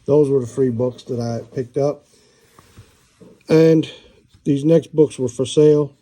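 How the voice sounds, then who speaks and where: Man, American accent, middle-aged, very dark mellow tone, rambling casual talk with fillers. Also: medium pitch, measured pace. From United States. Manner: relaxed, rambling, with many fillers and restarts. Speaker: book reviewer. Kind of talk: casual book show-and-tell.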